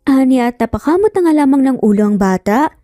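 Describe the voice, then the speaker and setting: Mellow Filipino woman narrating a story. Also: sits high, lively intonation, quick pace, dry recording, studio quality, faint music behind. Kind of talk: scripted romance story narration.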